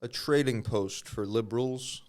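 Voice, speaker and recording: A man's voice: medium pitch, measured pace, a little mellow. A man co-hosting a comedy podcast, joking casually, riffing on bits and laughing often. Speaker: podcast co-host.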